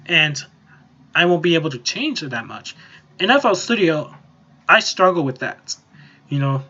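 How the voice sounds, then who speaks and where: A man hosting a casual software tutorial, explaining in a rambling, repetitive way. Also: on the high side, lively intonation, normal speed, breathy edge, highs cut off. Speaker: tutorial host.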